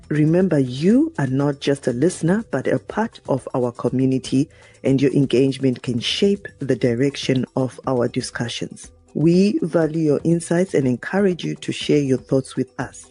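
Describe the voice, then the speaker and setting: A man's voice: mid-range voice, lively intonation, normal speed, warm tone, husky, soft background music. A man presenting a podcast, reading a scripted sign-off to listeners. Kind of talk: scripted outro narration.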